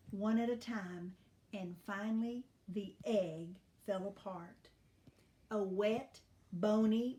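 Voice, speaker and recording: Lady reading a kids story, highish voice, really slow and expressive, kinda teachery. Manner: deliberate, teacherly.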